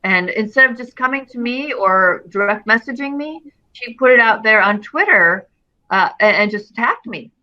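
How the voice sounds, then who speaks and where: This middle-aged woman talks with a bright, forceful voice. She speaks earnestly and expressively as an interview guest and often restarts her phrases. The audio is a little dull and lo-fi. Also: a little high, expressive, moderate pace. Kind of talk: casual interview conversation.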